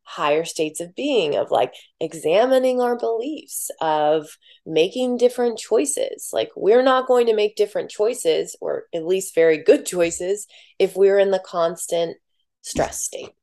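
A woman guest in a casual podcast conversation, talking softly and reflectively with many filler words. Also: mid-range voice, unhurried. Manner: soft-spoken, many "like" fillers.

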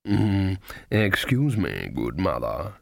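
A man voicing a smooth-talking card sharper with a drawling, courteous, falsely friendly manner, as an audiobook character. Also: deep voice, sing-song, takes it slowly, dry recording, clean studio sound, pitched below the performer's own voice, full character voice. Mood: oily, courteous.

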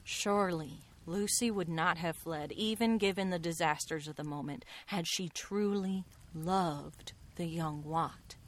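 Actress doing mysterious skeptical mystic lady in a radio play, lowish pitch, slow-weighed fancy phrasing, very knowing. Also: lightly acted. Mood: pointed, wry. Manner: deliberate, eloquent, theatrical phrasing. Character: enigmatic worldly woman mystic, coolly knowing and skeptical. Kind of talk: radio drama acting.

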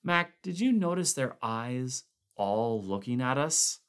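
A man voicing a frightened expedition member who suspects everyone around him, speaking in anxious, halting bursts. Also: medium pitch, lively intonation, fairly bright tone. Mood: uneasy. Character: nervous man fearing he's the last human.

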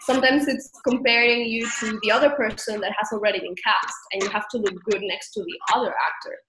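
A woman's voice: on the high side, fast talker. A woman from Spain with a Spanish accent, a guest speaking in a casual interview and laughing often as she talks. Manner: animated, laughs often while talking. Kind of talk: conversational interview. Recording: echoey room with background noise.

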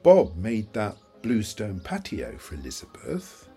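An actor playing an elderly, forgetful father in an audio play, confused and wistful, halting and searching for his words. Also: on the low side, wildly swooping pitch, mellow, husky, soft background music, heavily acted. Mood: bewildered. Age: older. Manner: halting, searching, repeats himself.

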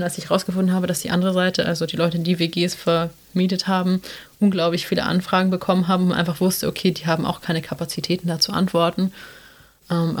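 A young woman from South Tyrol with a South Tyrolean German accent, chatting casually on a podcast, rambling with many fillers. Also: fairly low pitch. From South Tyrol, Italy. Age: young adult. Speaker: podcast co-host. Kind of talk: casual chat.

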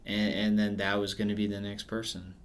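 A man's voice: fairly low pitch, completely flat delivery, a little fast, a little hiss or hum. A man in his twenties from the United States, co-hosting a podcast in casual chat and musing aloud. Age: 20s. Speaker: podcast co-host.

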